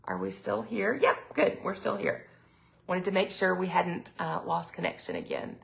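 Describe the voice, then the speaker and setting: Woman, middle-aged, slightly raspy throat, chatty live-stream host, lowish pitch, gravelly. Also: normal speed. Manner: chatty, lots of ums, reads viewer comments aloud. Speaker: product consultant hosting a live sales stream. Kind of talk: casual live-stream chat.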